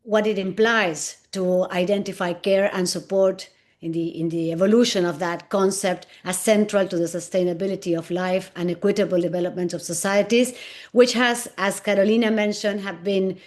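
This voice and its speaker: A woman's voice: mid-range voice, fairly even intonation, a little mellow. A woman from Argentina, a native Spanish speaker, presenting in English as an NGO director on a panel over a video call. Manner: measured, list-like delivery.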